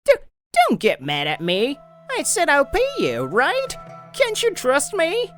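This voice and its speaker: A woman's voice: high voice, huge pitch swings, slow pace, faint music behind, exaggerated voice. A woman playing a stammering, cowardly runaway boss. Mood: nervous. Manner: stammering, whiny, then smug. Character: cowardly, scheming runaway male company boss. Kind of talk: manga video voice acting.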